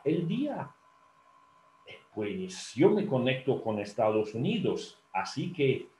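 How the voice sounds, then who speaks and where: A man's voice: mid-range voice, a little mellow. A man whose first language is Italian, giving a talk to students over a video call, hesitant and full of fillers, often asking the audience questions. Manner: hesitant, many fillers and restarts, asks the audience. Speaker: telephone interpreter giving a talk. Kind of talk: lecture to students. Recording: roomy video call audio.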